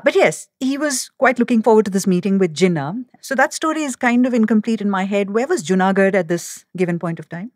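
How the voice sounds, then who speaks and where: A woman's voice: medium pitch, darkish tone, no room sound. Woman co-hosting, close dry sound. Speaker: podcast co-host. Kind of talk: conversational interviewing.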